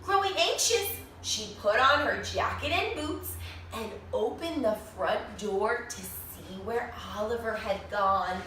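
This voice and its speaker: Slow lively lady reading a kids book out loud, very echoey, asks the little ones questions. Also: on the high side. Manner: expressive, with dramatic pauses and questions to young listeners. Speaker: children's author reading own book aloud to young children. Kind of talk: animated children's storybook read-aloud. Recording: echoey room, distant mic, faint noise.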